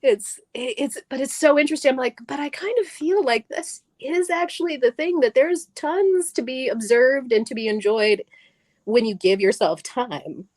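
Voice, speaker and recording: A woman's voice: high voice, normal speed, darkish tone, no room sound. A middle-aged woman, a guest on stage at a live event, talking casually and animatedly, laughing often and restarting her sentences.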